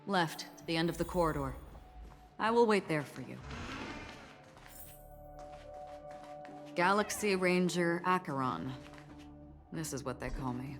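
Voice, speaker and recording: Low, enigmatic swordswoman in long reverb. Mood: calm, guiding. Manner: measured, formal, cryptic. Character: calm, enigmatic woman swordfighter, wistful galaxy ranger. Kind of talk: video game story acting. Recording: long reverb, clear music behind. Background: music.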